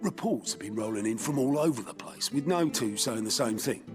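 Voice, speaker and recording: A man's voice: medium pitch, animated, fairly quick, music in the background, natural voice. An actor playing a matter-of-fact man briefing an ally in a video game, plain and practical. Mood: businesslike. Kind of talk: video game cutscene acting.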